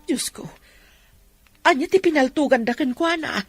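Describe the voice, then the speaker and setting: A woman's voice: sits high, moderate pace, dry recording, soft background music, lightly acted. A woman playing a concerned, sympathetic mother in an Ilocano radio drama, speaking in a fretful way. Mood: alarmed, fretful. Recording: clear background noise and ambience.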